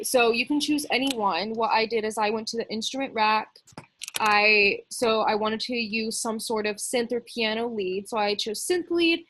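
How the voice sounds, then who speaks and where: A woman's voice: a little high, fairly bright tone. A woman teaching music production in a casual, informal way, explaining step by step and thinking aloud as she demonstrates. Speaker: music production tutorial instructor.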